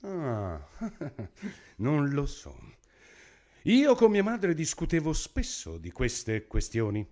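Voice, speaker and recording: An actor playing a crude, excitable, needy henchman in a theatrical radio drama, with an insistent, repetitive way of talking. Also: a little high, slow, exaggerated voice. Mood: irritated. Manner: excitable, repeats phrases insistently. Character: foul-mouthed male henchman, excitable, crude, needy sidekick.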